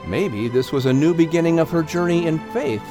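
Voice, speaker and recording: A man's voice: fairly high pitch, animated, fairly quick, music in the background. A man reading scripted narration for a children's audiobook.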